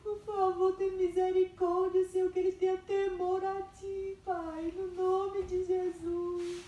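A worn-out young wife begs heaven to help her marriage in a very high, exasperated voice, acted by a young woman in a church skit. Her voice echoes heavily around a wooden hall. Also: flat delivery, takes it slowly, mellow. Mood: tearful prayer. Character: weary young wife praying for her husband. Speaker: amateur actor in a church skit. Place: wood-panelled hall, indoors. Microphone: far camera.

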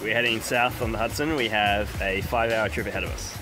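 Calm man giving boat updates. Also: lowish voice, brisk, over music. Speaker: sailing vlogger. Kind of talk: casual on-board updates. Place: outdoors on a sailboat.

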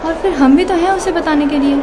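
A woman's voice: extremely high pitch, brisk. A woman playing a friendly, persuasive young college student in casual radio drama slang. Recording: noisy, crowded classroom ambience behind.

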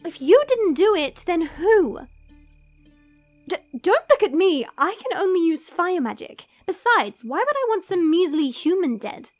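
High-pitched haughty dragon princess played by a woman. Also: big pitch swings, unhurried, dry recording, heavily acted. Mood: defensive. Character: sharp-eyed young dragon princess, haughty toward humans. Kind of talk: audio drama acting. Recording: music playing behind. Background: background music.

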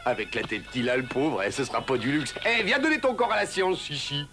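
A big boastful pig in a cartoon, high-pitched and swooping. He is pompous and excitable, with music behind. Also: a little fast. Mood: scheming. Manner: pompous, exclamatory.

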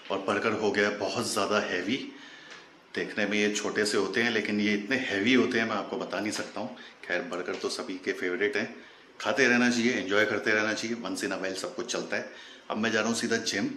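A man's voice: on the low side, bright, forceful tone. A man in his thirties chatting casually to viewers in a food vlog, filmed on a phone at arm's length in a car and at home. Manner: chatty, addresses viewers as guys, mixes English words. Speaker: vlogger. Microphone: phone camera at arm's length. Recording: noticeable background noise.

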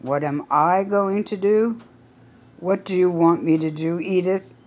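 Narrator doing a steady husband, slow and soothing, low-key. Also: female voice, dull audio. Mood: calm. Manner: calm, soothing. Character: calm older husband keeping his wife steady.

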